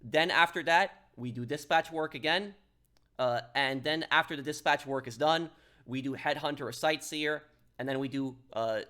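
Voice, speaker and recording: A man's voice: fairly high pitch, moderate pace, sharp tone. A man giving a casual, step-by-step gameplay tutorial in an instructional way, like an online gaming guide host.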